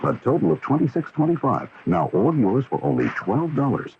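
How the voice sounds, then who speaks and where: A man delivering a scripted advertising pitch as a vintage radio commercial announcer. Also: sing-song, a little fast. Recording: dull noisy vintage radio broadcast.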